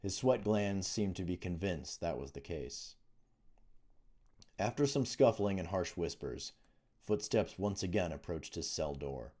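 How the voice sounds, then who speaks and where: A man's voice: deep voice, warm tone. A man reading fantasy fiction aloud as an author narrating his own audiobook.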